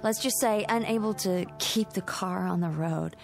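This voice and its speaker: An actress playing Millie, a sharp-tongued wife trading teasing retorts in an old radio drama. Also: female voice, mid-range voice, moderate pace, gravelly, natural voice. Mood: recalling. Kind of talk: 1950s radio drama acting. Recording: old radio recording with music.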